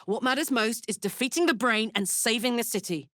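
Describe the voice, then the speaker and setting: Brash British warrior, close and dry. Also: female voice, on the high side, expressive, brisk, fairly bright tone, no echo. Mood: alert, matter-of-fact. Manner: blunt, earthy, sweary. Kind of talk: fantasy video game voice acting.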